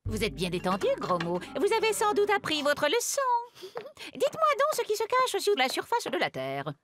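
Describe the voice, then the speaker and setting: Stern, sarcastic cartoon teacher: high voice, sweeping intonation, formal diction. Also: female voice, huge pitch swings, moderate pace, over loud music. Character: stern, sarcastic schoolteacher woman. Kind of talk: French cartoon dubbing.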